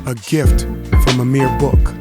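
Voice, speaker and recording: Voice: medium pitch, steady tone, unhurried, over loud music. A rapper delivering rhythmic spoken-word verse as a theme song. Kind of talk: spoken-word rap over a beat. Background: loud hip hop beat.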